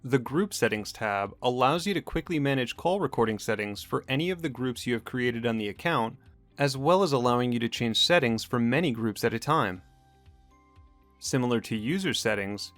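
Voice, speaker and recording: Male narrator, mid-pitched, for software tutorial; measured, matter-of-fact scripted read over a music bed. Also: no room sound. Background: background music.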